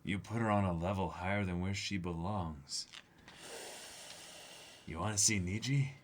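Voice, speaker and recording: Man voicing a hushed, haughty cold royal. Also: slight background noise. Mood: sneering. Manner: low, hushed, deliberate.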